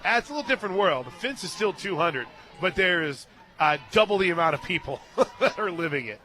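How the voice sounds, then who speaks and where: A man calling softball live on radio as play-by-play announcer, mixing clipped pitch calls with conversational asides. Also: big pitch swings, fairly quick, poor audio. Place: radio booth at an outdoor softball stadium. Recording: live stadium booth, clear crowd noise. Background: stadium crowd.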